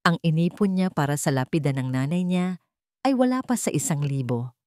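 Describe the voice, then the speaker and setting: A woman narrates a dramatic romance story in a fairly low voice. The recording is dry and close, with little room around her scripted storytelling. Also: lively intonation, normal speed, dark tone, dry recording.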